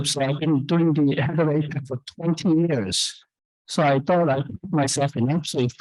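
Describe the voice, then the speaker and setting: A man in his fifties, originally from South Korea, answers questions from an event audience in a candid, rambling way, stopping and restarting often. His voice is mid-pitched and recorded dry, with no room echo. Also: a little mellow. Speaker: startup founder and CEO speaking at an event.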